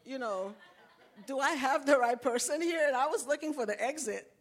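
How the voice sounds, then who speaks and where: A woman's voice: high-pitched, moderate pace. Earnest woman from Haiti giving testimony. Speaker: ministry leader sharing testimony.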